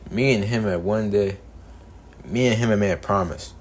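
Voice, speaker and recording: A man voicing an earnest, guarded young male devil hunter from another universe, delivering animated-story dialogue. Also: lowish voice, moderate pace, voice pushed up, close to an everyday voice. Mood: earnest, reflective. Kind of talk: animated-series character dialogue, recorded in repeated takes.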